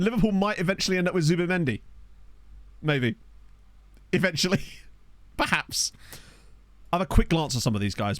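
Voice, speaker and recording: A chatty man talks his way through a football management game, wandering from thought to thought and chuckling at the players he finds. His pitch bounces around with lots of life. He sits close to a desk microphone in a dry room. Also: no echo. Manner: chatty, rambling, laughs often, reacts aloud to players. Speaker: gaming streamer. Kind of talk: casual gameplay commentary.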